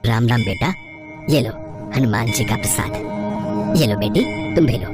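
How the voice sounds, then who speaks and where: A woman voicing a humble, devout older male temple priest in a moral story, gentle and reverent in manner. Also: rumbling low, very animated, unhurried, over loud music, heavily acted. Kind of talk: devotional story dialogue.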